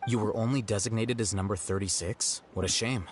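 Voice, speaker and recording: A man's voice: fairly low pitch, fairly quick, a little hiss or hum, natural voice. A man playing a cool, blunt intellectual in a video game, composed, articulate and matter-of-fact. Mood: composed, skeptical.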